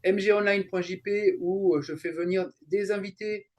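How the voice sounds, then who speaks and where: Casting: male interview guest, slightly high-pitched, informal, halting, explanatory delivery. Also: fairly even intonation. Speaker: interview guest, translator and event coordinator. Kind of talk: casual conversational interview.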